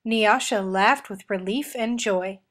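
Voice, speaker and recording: A woman reading a children's story aloud in an expressive storytelling style. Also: medium pitch, slow. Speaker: storybook reader.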